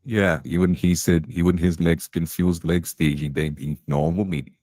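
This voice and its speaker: A man's voice: low-pitched, flat delivery, normal speed, mellow, no room sound, studio quality. A man playing a gossiping canteen tea lady, chatty and blunt with plenty of slang.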